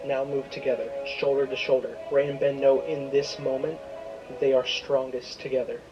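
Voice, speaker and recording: A man's voice: medium pitch, flat delivery, normal speed, highs cut off. A man reading a scripted, dramatic story as its narrator. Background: prominent music.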